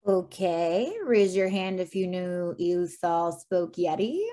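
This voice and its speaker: Woman as a mellow, quippy adventurer. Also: fairly low pitch, steady tone, slow pace. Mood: wry. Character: quippy, playful comic-relief adventurer. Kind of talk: animated fantasy table read.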